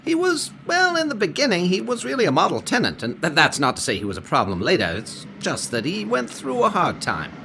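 A fussy, deferential landlord in an old-time radio play. His voice is fairly high, bright and creaky, and he hesitates uneasily as he talks. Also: huge pitch swings, a little fast, hardly any pauses, slight background noise, exaggerated voice. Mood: uneasy, sympathetic. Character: fussy, polite, uneasy male apartment building manager. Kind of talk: 1920s-style radio drama acting.

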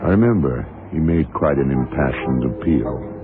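An actor in an old radio drama playing a shrewd, calm investigator who speaks tersely and with quiet command. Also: male voice, extremely low pitch, normal speed. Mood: dry, assured. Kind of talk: 1960s-70s radio drama acting. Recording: noisy, tinny old radio broadcast.

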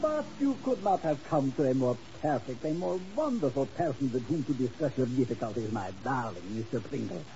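A man's voice: medium pitch, sing-song, a little fast, few pauses. A male actor playing a greedy, self-adoring baron in a 1950s radio drama, effusive and theatrical, with oily endearments. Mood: gushing, oily. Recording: hissy, dull old radio recording.

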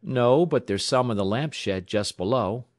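A man's voice: mid-range voice, lively intonation, normal speed, no room sound, clean studio sound, pitched above the performer's own voice, close to an everyday voice. A man voicing a plucky, cheerful young railway tower operator, matter-of-fact and game, in an audiobook story. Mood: willing, brisk.

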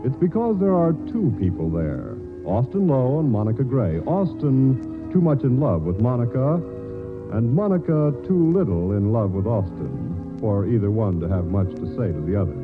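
A man narrating a radio play in a wry, measured storytelling manner. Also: medium pitch, very animated. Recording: thin, phone-like old radio sound with music.

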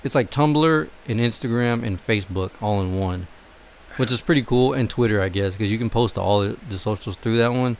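A man's voice: on the low side, brisk, slight background noise. A young man co-hosting a casual podcast chat, rambling and swearing freely. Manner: rambling, profane, laid-back. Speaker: podcast co-host. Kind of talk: casual chat among friends.

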